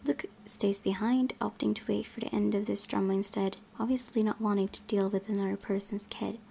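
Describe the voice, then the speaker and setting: Creaky-voiced woman reading game narration. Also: medium pitch, steady tone, brisk, mellow, slight background noise. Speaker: gaming channel host. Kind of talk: reading visual novel narration aloud with asides.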